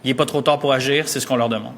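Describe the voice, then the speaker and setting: A man's voice: mid-range voice, fairly even intonation, rapid-fire, fairly bright tone, big-room sound, slight background noise. A man, a politician, making an indignant, emphatic statement at a press conference.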